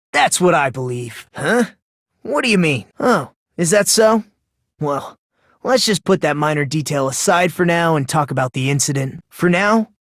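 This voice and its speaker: A woman with an American accent voicing a polite, soft-spoken young man with an unsettling hopefulness in a video game. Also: lowish voice, no room sound, clean studio sound. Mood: reassuring, fervent, then musing. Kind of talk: English video game voice acting.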